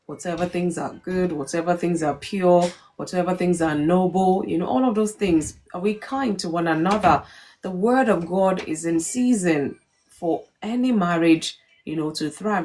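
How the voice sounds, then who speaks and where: A woman's voice: lowish voice, a little hiss or hum. Woman hosting a faith talk. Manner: warm greetings to viewers, many fillers. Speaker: live stream host.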